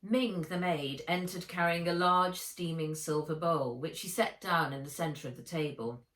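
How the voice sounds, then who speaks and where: A woman reading her own children's novel aloud as narrator, in measured, expressive storytelling. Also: lowish voice. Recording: roomy echo, distant microphone.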